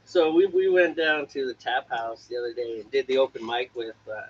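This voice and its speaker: A man co-hosting a homebrewing stream, telling stories in a playful, animated way and mimicking other people. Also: mid-range voice, a little fast, slight background noise. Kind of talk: casual chat. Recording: lo-fi, dull livestream audio.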